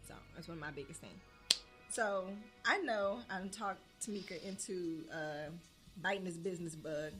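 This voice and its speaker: Woman near forty, low voice, casual podcast chat, faint music underneath. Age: 30s. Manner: chatty, laughs often, drawn-out fillers. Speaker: podcast co-host and small business owner.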